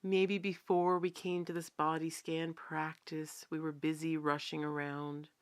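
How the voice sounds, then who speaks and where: Female meditation guide, lower-pitched and very slow, calm and gently instructive. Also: clear, resonant tone. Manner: calm, unhurried, gently instructive with long pauses. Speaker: meditation teacher. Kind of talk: guided body scan meditation.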